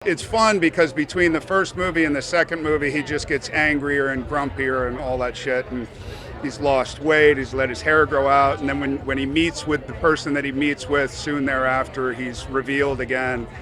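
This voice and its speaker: A man's voice: mid-range voice, fairly even intonation, moderate pace, a crowd in the background. A man enthusiastically describing his work in a casual press interview, swearing freely. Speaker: actor being interviewed.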